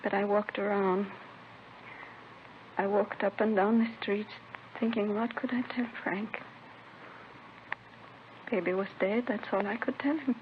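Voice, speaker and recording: An actress playing a distraught, grieving young mother making a halting confession in a 1950s radio drama. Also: medium pitch, steady tone, natural voice. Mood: grieving, haunted. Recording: tinny, noisy vintage radio recording.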